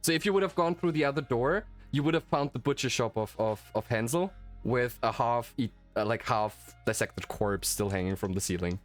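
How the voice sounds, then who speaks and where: A man's voice: medium pitch, normal speed, hardly any pauses. Rambling, enthusiastic man, native German speaker, music behind. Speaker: game master and host. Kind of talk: casual post-game chat. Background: faint background music.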